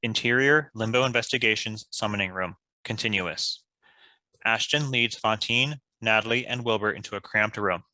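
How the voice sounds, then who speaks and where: Table read narrator: crisp-edged bright voice, scripted delivery of stage directions. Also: mid-range voice, normal speed, low-quality recording.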